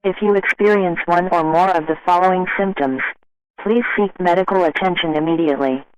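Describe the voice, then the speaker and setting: Smooth, high-pitched man playing an emergency alert announcer. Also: a little fast, muffled recording, lightly acted. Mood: formal, grave. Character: male emergency alert announcer, formal and grave. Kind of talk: scripted emergency broadcast announcement.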